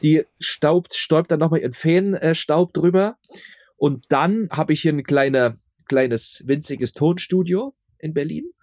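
Chatty man with a slightly high voice. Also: measured pace, a little mellow, no echo, clean studio sound. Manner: chatty, repeats words and phrases for emphasis. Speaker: radio play producer and director being interviewed. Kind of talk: casual interview chat.

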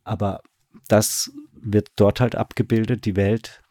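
A man interviewed as a radio drama director, talking casually with a halting, self-correcting delivery. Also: on the low side, little pitch movement, mellow, no echo.

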